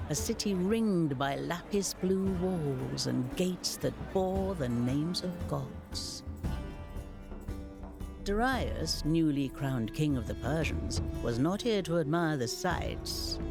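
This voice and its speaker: An actor playing a storyteller of ancient legends, narrating in a measured, theatrical and deliberate way. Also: male voice, fairly high pitch, creaky, heavily acted. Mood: wistful grandeur, then grave, ominous. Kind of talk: dramatic scripted storytelling narration.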